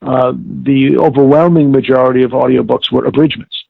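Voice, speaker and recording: Man on a tinny phone line, gravelly. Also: mid-range voice, fairly even intonation, dry recording. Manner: halting, emphatic, repeats words for stress. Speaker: interview guest, audiobook expert. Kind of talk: reflective interview conversation.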